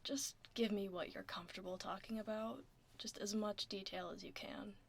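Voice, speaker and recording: A woman playing a laid-back tomboy werewolf office admin in a soft-spoken, partly whispered ASMR roleplay, casual and understated, close to the mic. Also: medium pitch, fairly even intonation, moderate pace, gravelly, slightly rough. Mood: calm, focused. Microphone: close binaural microphone. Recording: faint noise, keyboard typing. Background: computer keyboard typing.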